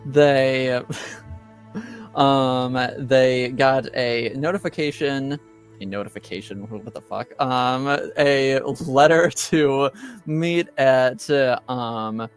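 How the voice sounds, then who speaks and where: A man hosting a tabletop roleplaying game as game master, recapping casually and haltingly as he searches for words. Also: mid-range voice, clear, resonant tone, faint music behind.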